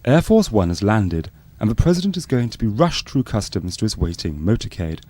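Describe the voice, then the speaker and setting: A man's voice: on the low side, very animated, brisk, mellow. A man reading scripted narration for a spoof fly-on-the-wall documentary.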